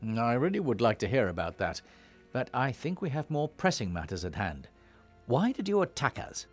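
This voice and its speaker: Earnest, animated fantasy hero. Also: male voice, mid-range voice, normal speed, faint music behind. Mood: puzzled. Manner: direct, questioning. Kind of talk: fantasy game cutscene acting.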